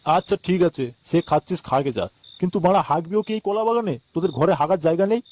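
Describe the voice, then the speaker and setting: A man's voice: high voice, lively intonation, fast talker, a little mellow. A man with a West Bengali accent voicing an irritable, foul-mouthed village banana farmer in a comic cartoon. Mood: indignant. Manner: grumbling, swearing.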